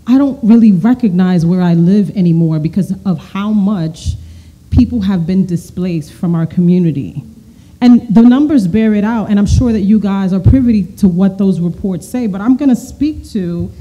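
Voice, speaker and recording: A woman's voice: mid-range voice, mellow, poor audio. A woman giving earnest, emphatic prepared testimony as a community board member at a podium microphone in an auditorium. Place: large auditorium.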